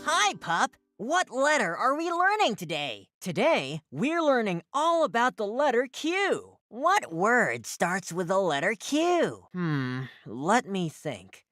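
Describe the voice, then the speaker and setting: A woman's voice: medium pitch, big pitch swings, slow pace, few pauses, a bit bright, no echo, studio quality, soft background music. A woman voicing a cheerful, friendly host of a preschool learning cartoon, asking simple questions in a sing-song, teacherly way. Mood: cheerful, curious. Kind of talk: children's educational cartoon dialogue.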